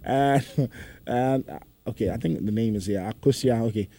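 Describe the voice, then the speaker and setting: A man's voice: mid-range voice, normal speed, mellow. A man with a Ghanaian accent hosting a casual panel chat. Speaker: show host.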